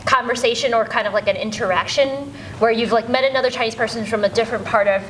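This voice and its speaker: Bright-voiced woman interviewer, faint hiss. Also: on the high side, fast, low-quality recording. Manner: warm, encouraging backchannels, playful teasing. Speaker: interviewer, executive director. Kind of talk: casual interview chat.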